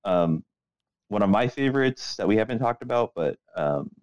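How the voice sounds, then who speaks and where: A man's voice: fairly low pitch, expressive, moderate pace, a little mellow, dry recording, muffled recording. A man co-hosting a casual podcast in a laid-back, hesitant way, often hedging what he says. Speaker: podcast co-host.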